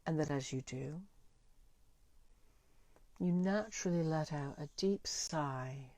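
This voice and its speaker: A woman leading a guided meditation in a soothing, deliberate way, pausing between short phrases. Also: sits low, dark tone, no room sound. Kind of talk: guided meditation, gentle spoken visualisation.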